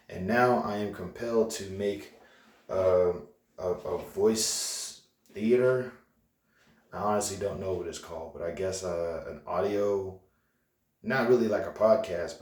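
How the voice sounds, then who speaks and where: A man's voice: low-pitched, darkish tone. A young man, vlogging in a casual, rambling way with lots of fillers and restarts. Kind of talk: casual vlog rant. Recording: echoey room, voice from far off.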